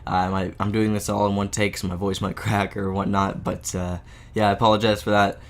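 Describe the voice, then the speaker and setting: Guy with a sick voice, lowish pitch, explaining editor stuff casually, lots of uhs. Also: level tone, fairly quick. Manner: casual, many "uh" and "there" fillers. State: sick, voice a bit off. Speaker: game engine tutorial maker. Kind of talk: screen-recorded software tutorial.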